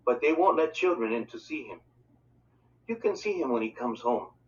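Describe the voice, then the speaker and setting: A man's voice: mid-range voice, expressive, moderate pace, a little hiss or hum, lightly acted. A man voicing a worried, stern mother in a storybook, speaking in a curt, guarded way. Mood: sad, hesitant. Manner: curt, evasive. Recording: distant mic, dull lo-fi sound.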